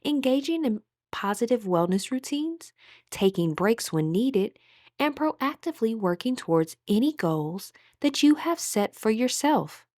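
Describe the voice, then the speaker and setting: A woman leading a guided meditation as instructor, speaking in a calm, soothing, measured way with pauses. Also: on the high side, dry recording, clean studio sound.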